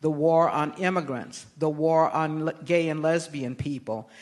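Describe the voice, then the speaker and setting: An older American woman answering interview questions as a guest, speaking in a measured, emphatic, oratorical way. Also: low voice, a little mellow. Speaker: interview guest, political activist. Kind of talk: live on-stage interview answers.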